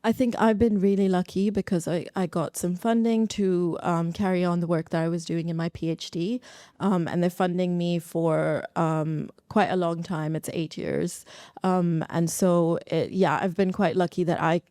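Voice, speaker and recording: A woman's voice: lowish voice, fairly even intonation, moderate pace, warm tone, dry recording, studio quality. A woman from Pakistan, a psychology researcher, answering informally in a panel discussion. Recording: live event recording.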